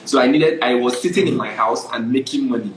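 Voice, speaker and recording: A man's voice: medium pitch, fairly quick, slight background noise. Nigerian man telling his story, echoey. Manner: animated storytelling, often asks "do you understand?". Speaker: guest photographer. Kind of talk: casual interview.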